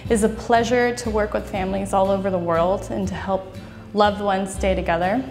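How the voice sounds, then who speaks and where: Female law office staffer, gravelly fry, mid pitch, faint music behind. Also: steady tone, normal speed, a little mellow. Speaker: immigration law firm staff member. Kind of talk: on-camera self-introduction.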